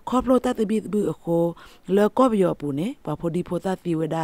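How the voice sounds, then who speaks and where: A woman presents scripted radio news in a fairly low, mellow voice with noticeable creak. The recording is dry, like a studio. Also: lively intonation, moderate pace, dry recording.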